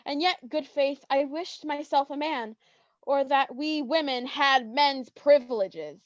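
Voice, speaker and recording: Lovestruck young maiden played by a woman, high and slow, coy theatrical verse, on dull dry audio. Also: no room sound. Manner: theatrical, earnest verse delivery. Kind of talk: Shakespearean staged reading.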